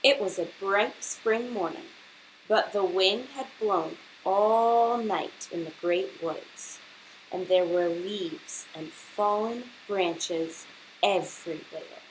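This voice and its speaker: A woman reads aloud to a class of children at an unhurried, slow pace. She explains and prompts like a teacher, over a faint bed of hiss. Her pitch sits in an ordinary female range. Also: low-quality recording. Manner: instructional, pausing to prompt young listeners. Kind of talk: picture-book read-aloud with questions to children.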